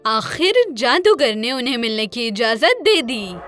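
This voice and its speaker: A woman's voice: fairly high pitch, sing-song, unhurried, fairly bright tone. A woman narrating a scripted children's fairy tale as a storyteller. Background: background music.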